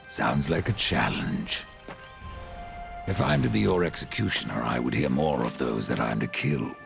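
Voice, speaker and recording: A man playing a grim, sardonic reaper horseman in a video game, very deep and gravelly, with dry, terse delivery. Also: big pitch swings, moderate pace, music in the background, exaggerated voice. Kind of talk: video game cutscene acting.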